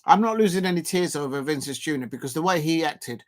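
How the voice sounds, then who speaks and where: A man's voice: fairly high pitch, expressive, fast. Hesitant man hosting a football watch-along. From Colombia. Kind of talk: casual live match commentary.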